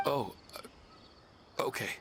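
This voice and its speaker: An actor voicing a dazed, gentle, dream-haunted husband in a video game, hesitant and earnest. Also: male voice, drawn-out words, noisy background. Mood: apologetic. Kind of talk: video game character acting. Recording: loud music behind.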